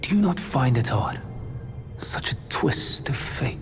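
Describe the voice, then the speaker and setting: A man's voice: mid-range voice, big pitch swings, a little slow. An actor playing a scheming, silver-tongued male immortal in a video game, formal, insinuating and theatrical. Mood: grave, persuasive. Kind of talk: dramatic video game cutscene acting. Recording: voice under prominent music.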